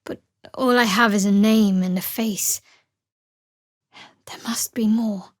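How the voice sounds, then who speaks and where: A woman's voice: mid-range voice, fairly even intonation, slow pace, no echo, lightly acted. A woman playing a guarded, wry young woman cleric in a video game, with dry, sardonic, clipped delivery. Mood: sombre.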